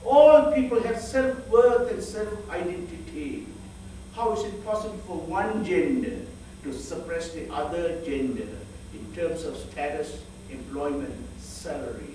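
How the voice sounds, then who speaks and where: A man from northern Sri Lanka giving an earnest sermon, measured and full of rhetorical questions. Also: high-pitched, a little mellow, slight background noise. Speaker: speaker at a church fellowship. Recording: echoey hall, voice from far off.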